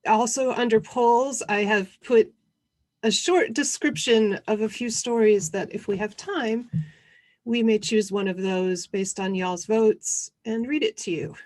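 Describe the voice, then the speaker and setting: A woman co-hosting an online session, introducing things in an informal, slightly halting way. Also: medium pitch, fairly even intonation, darkish tone. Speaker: co-host presenting an online convention session.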